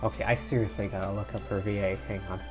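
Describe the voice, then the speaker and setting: A man's voice: fairly low pitch, flat delivery, fast. A man streaming a video game live, chatting casually and reacting aloud with laughter. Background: faint game music.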